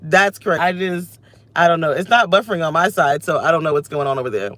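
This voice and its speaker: A woman's voice: low voice, fast talker, fairly bright tone, dry recording. A woman in her thirties, with a scratchy voice, venting and restlessly interrupting herself into a phone camera while she sits in her car. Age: 30s. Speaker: vlogger. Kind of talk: unscripted personal vlog rant. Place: inside her parked car. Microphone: phone camera held close.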